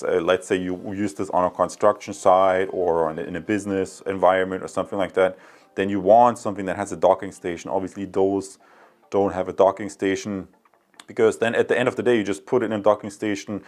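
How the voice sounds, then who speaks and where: A man's voice: sits low, a little mellow. A man giving an informal product review, chatty and explanatory, tending to ramble as he goes. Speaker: product reviewer, channel host.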